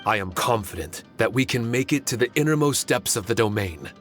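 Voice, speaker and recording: A man voicing a courteous, solemn ancient guardian spirit in an anime game, speaking formally and in a measured way. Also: mid-range voice, lively intonation, fairly bright tone, soft background music, natural voice. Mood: polite.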